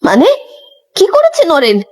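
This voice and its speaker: A woman playing a motherly village midwife in a horror audio story, with theatrical, drawn-out exclamations. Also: high-pitched, wildly swooping pitch, darkish tone, full character voice. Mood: shocked, concerned.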